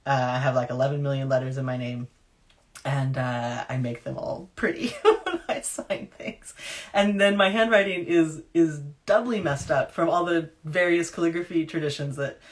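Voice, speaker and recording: A woman in her thirties with a low voice, an author reading her own novel aloud at home into a webcam, hushed and whispery when she reads, chatty with fillers when she talks. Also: normal speed. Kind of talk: storybook reading then casual chat. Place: dim room at home.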